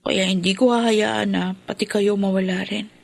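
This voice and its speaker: An actress playing an earnest, questioning grown daughter in a Filipino radio drama. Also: female voice, mid-range voice, steady tone, moderate pace, creaky, a little mellow. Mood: hurt, questioning.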